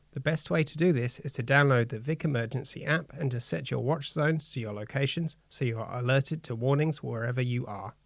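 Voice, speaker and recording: Australian male reader, creaky mid-pitched voice, dry recording, prepared advisory statement. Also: dry recording. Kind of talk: scripted reading of a public safety statement.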